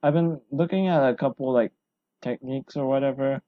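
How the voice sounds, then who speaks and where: A man hosting a solo podcast, rambling and self-deprecating, his voice hoarse from talking a long time. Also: mid-range voice, fairly quick, phone-quality sound. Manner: rambling, self-deprecating, full of fillers and restarts. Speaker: podcast host.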